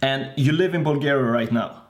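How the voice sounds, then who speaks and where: A man's voice: mid-range voice, normal speed. A man hosting a casual online interview in an enthusiastic, informal way. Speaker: interviewer and show host.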